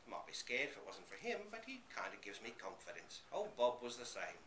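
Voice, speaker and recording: A man's voice: medium pitch, wildly swooping pitch, fairly quick, sharp tone. A man voicing a placid, homely old country caretaker, an unworried father in a story. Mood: matter-of-fact. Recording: roomy live reading, slight background noise.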